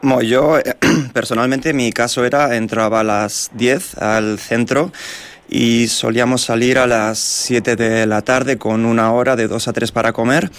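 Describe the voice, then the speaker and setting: Casting: man from Spain, mid-pitched voice, measured and thoughtful, radio interview guest. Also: steady tone, clean tone. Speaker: radio guest, returned from Mexico.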